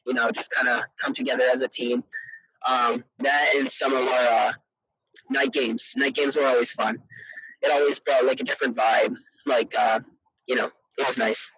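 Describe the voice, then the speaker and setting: Teen male athlete guest, husky unpolished voice, earnest, halting casual interview answers. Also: mid-range voice, sharp tone, no echo, poor audio. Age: teenager. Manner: hesitant, earnest, peppered with "you know".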